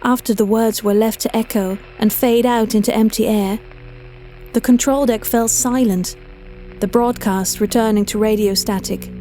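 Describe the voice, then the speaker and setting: A woman narrating a scripted horror story in a measured, dramatic storytelling manner. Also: a little high, steady tone, dark tone, poor audio. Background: faint music.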